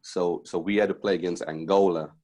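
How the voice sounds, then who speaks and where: A man from Zimbabwe with a very low, deep voice talks through his past in a loose, rambling way. He restarts sentences and fills gaps with "um" and "you know." He is a middle-aged basketball coach chatting as a podcast guest. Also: steady tone, brisk, a little mellow. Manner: rambling, many fillers and restarts. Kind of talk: casual interview chat.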